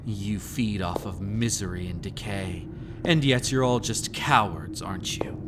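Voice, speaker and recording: A man's voice: lowish voice, measured pace, background noise, natural voice. A man playing a sinister, centuries-old master of a haunted estate in a dramatic audio roleplay, teasing and taunting theatrically. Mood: mocking menace. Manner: teasing, taunting, theatrical, with frequent sly chuckles.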